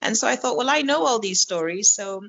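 A Kenyan-raised woman who works as a storyteller, talking in a relaxed interview with many restarts. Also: fairly high pitch, a little fast, vocal fry. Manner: warm, reflective, with frequent restarts and laughs. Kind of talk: conversational interview. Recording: dull lo-fi audio.

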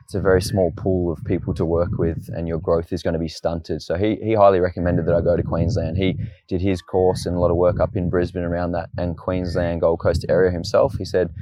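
A relaxed Australian man in his late twenties, telling his story as an interview guest. His voice is very low and flat, with little rise or fall. The recording is dry and close. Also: fairly quick, few pauses, dry recording, low-quality recording. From Tasmania, Australia. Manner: relaxed, storytelling, says "mate". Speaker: guest, fitness coach. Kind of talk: casual interview chat.

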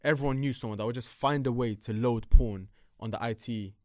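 A man, chatting casually at a rapid clip. He tells stories loosely, with plenty of slang and swearing. His voice sits at a middling pitch and is recorded close and dry, with no room echo. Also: darkish tone. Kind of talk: casual chat.

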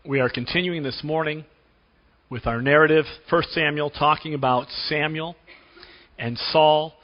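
A pastor preaching a sermon to his congregation while losing his voice, often clearing his throat. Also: on the high side, steady tone, unhurried, pauses often. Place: church sanctuary. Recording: dull recording in a reverberant church.

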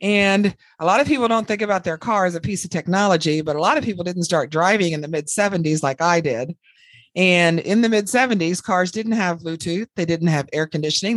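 A woman in her sixties with a hoarse voice from a cold, chatting casually as a podcast co-host and laughing between phrases. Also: lowish voice, fairly quick, no echo.

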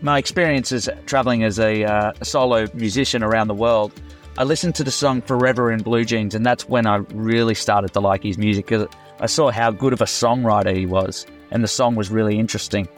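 A man's voice: on the low side, fairly even intonation, fairly quick, soft background music. A man answering interview questions in a chatty, self-deprecating way, with fillers. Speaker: tribute performer and former music teacher.

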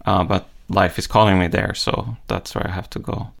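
A man with a fairly low voice and a gravelly fry talks reflectively in an interview. He is thoughtful, feeling his way through each idea as a musician, with plenty of conversational "like". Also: little pitch movement, normal speed, a bit bright. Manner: thoughtful, searching, often says "like". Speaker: interview guest, ambient musician. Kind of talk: reflective casual interview talk.

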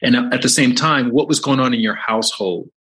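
A man's voice: medium pitch, fairly quick, a bit bright, breathy edge. A man hosting a podcast, introducing his guest with enthusiasm and then interviewing him. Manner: enthusiastic, upbeat. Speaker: podcast host.

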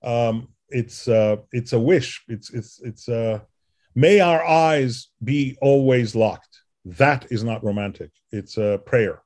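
A man interviewing on a podcast in a conversational, emphatic and deliberate way, with a teasing streak. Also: mid-range voice, darkish tone.

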